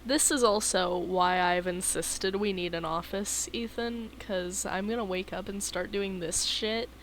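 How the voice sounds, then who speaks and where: A woman's voice: mid-range voice, measured pace, a little hiss or hum, poor audio. A woman streaming a game and chatting casually, sleepy and yawning, who laughs often and swears casually. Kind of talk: casual live-stream chat.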